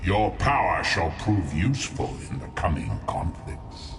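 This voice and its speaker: Deep slow demon overlord, mocking and menacing, hugely theatrical swoops, fantasy game villain. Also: male voice, very animated, vocal fry, music in the background, heavily acted. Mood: gloating menace. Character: gloating, scheming male demon lord, contemptuous of mortals.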